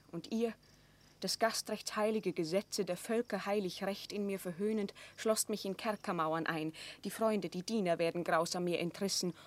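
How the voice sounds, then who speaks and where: A woman's voice: medium pitch, close to an everyday voice. An actress playing a proud, wronged captive queen in a classical radio drama, pleading in solemn, declamatory verse. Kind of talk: classical German stage drama radio play.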